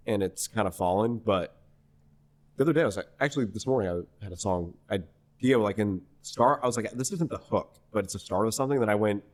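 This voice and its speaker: A young man from New Hampshire, a singer-songwriter guest in a podcast interview, telling a story in a rambling, animated way full of restarts. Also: on the low side, fairly quick. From New Hampshire, USA. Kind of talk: casual conversational interview.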